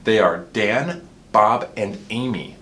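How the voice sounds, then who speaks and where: A man's voice: fairly low pitch, slow pace, fairly bright tone. A man giving an informal, explanatory product demonstration as the presenter of a review.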